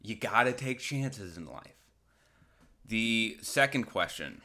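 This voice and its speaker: A man's voice: fairly low pitch, lively intonation, slow pace, pushed and bright. A man hosting a podcast solo, ranting casually and comedically with sarcastic, profane, colloquial talk and acted-out imagined quotes. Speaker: podcast host.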